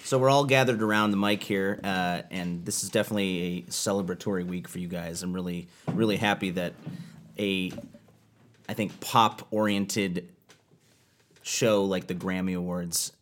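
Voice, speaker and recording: Low-voiced male radio host. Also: fairly even intonation, normal speed. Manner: easygoing, chatty, warm greetings and laughs. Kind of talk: show intro and casual interview. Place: living room studio.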